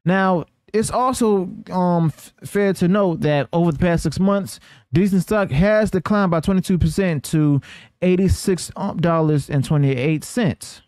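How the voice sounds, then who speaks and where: A man with a slightly high voice hosts a news commentary, recorded dry with very little room sound. He reads partly from notes in a halting way, stumbling and correcting himself. He often punctuates his points with short check-ins to the listener. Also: a little mellow, no room sound. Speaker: host of a news commentary channel.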